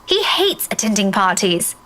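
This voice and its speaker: An actress playing a young grown daughter in a visual novel, talking in a light, reassuring way. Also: female voice, medium pitch, sing-song, moderate pace, sharp tone.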